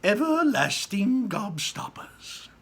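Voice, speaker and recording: A man voicing an excitable, bossy, eccentric chocolate inventor in a children's storybook, exclaiming his commands and boasts emphatically. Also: high voice, takes it slowly, breathy edge, pitched above the performer's own voice, heavily acted. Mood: proud, excited. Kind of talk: children's storybook read-aloud.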